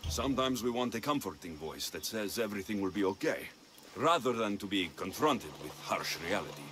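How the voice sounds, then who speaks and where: An actor playing a confident male Greek mercenary in a video game, dry and measured in manner. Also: fairly low pitch, lively intonation, noisy background, lightly acted. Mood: wry, teasing. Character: confident wry male Greek mercenary, battle-seasoned adult. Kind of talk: video game cutscene acting.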